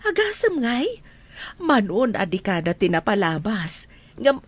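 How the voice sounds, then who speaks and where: An actress with a Filipino accent playing an excitable, affectionate family friend in a radio drama, effusive and husky. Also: female voice, mid-range voice, unhurried. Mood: delighted.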